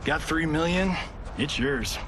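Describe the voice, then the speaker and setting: A man's voice: a little high, slow, noisy background. A man playing a vain, flippant movie-star fighter in a video game, with a glib, sarcastic manner. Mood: teasing. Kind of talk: video game cutscene acting. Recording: loud cinematic music behind voice.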